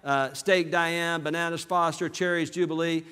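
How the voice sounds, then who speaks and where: A man from southern Alabama with a Southern American accent giving an energetic motivational business seminar, calling out to his audience for responses. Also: a little high, normal speed, clear, resonant tone. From southern Alabama, USA. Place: large hall with live audience. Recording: reverberant hall.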